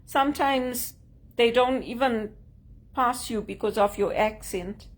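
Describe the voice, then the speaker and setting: A woman from Zimbabwe with a Zimbabwean accent, talking to camera in an earnest, measured way about job hunting. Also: fairly high pitch. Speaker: job seeker sharing tips. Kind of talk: casual talk to camera.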